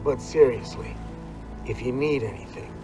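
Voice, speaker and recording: A man's voice: medium pitch, normal speed, music in the background, close to an everyday voice, rough recording. A man acting as an easygoing companion in a survival horror game, with a casual, wry delivery. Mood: resigned, caring. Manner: casual, wry, laid-back.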